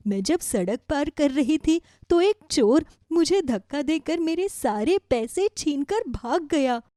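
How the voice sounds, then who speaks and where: A woman voicing a kindly, grateful old grandmother in a children's story, gentle and affectionate. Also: high voice, expressive, unhurried, dry recording. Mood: troubled.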